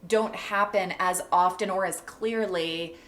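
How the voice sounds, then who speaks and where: A woman's voice: fairly low pitch, fairly even intonation, unhurried, fairly bright tone. Reflective woman podcast host. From Canada. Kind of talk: casual interview chat.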